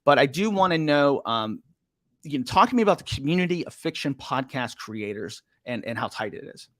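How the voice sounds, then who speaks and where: A man's voice: medium pitch, expressive, a little fast, a bit bright, no echo, studio quality. A middle-aged man hosting a podcast interview, talking enthusiastically with some restarts. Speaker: podcast host.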